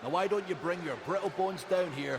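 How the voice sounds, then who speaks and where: A gravelly, grim wrestler, makes terse threats in a game promo. Loud noise surrounds his slightly high voice. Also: a little fast. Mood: threatening.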